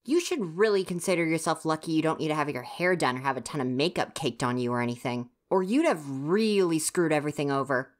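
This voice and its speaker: A grumpy young stagehand of university age, played by a woman, speaks in a low-pitched voice. The delivery is huffy, exasperated and easily flustered, full of sighing reproach. It is ASMR roleplay, addressed directly to the listener. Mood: grudgingly helpful.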